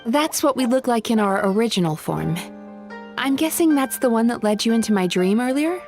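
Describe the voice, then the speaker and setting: A woman voicing a gentle young dream-eating spirit in a video game, who works as a dream therapist and talks in a soft, polite, soothing way. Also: a little high, animated, normal speed, faint music behind. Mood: friendly.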